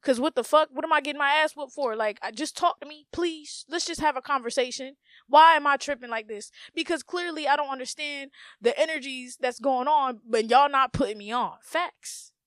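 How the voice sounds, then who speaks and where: A woman's voice: high voice, moderate pace, a bit bright, no room sound, clean studio sound. Blunt, profane young woman podcaster. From United States, Haitian family. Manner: blunt, profane, emphatic, often repeats phrases. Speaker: podcast host. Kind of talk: casual solo podcast monologue.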